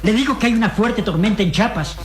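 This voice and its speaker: An actor playing a deferential sergeant and telegraph operator, reporting formally and respectfully in a radio drama. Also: male voice, high voice, quick pace, close to an everyday voice. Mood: dutiful. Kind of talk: Latin American radio drama acting.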